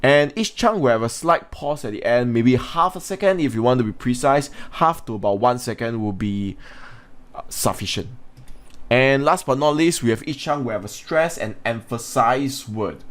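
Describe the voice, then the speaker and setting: A man's voice: medium pitch, animated, normal speed, a bit bright. Male English teacher, mid-range voice, narrating a lesson for students, instructional, asks questions. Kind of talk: scripted lesson narration with informal asides.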